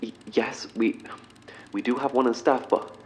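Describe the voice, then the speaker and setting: An actor playing an eccentric professor in an audio drama, speaking in a formal, wordy, slightly awkward corporate manner. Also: male voice, medium pitch, steady tone, soft background music, natural voice. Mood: defensive, halting. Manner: formal, wordy, halting under pressure. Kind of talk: orientation film and meeting speech in audio drama.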